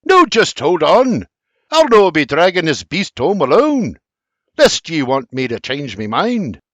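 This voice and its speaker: A man's voice: on the high side, sing-song, measured pace, a bit bright, dry recording, exaggerated voice. A man voicing a fatherly, joking old Scottish nobleman in broad dialect. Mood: hearty. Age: older. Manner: boisterous, teasing, broad dialect.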